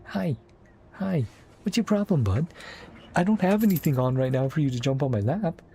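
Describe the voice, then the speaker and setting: A man's voice: fairly high pitch, expressive, moderate pace, warm tone, slight background noise. A man giving casual reaction commentary on a game story, in trailing, fragmented remarks. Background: game music.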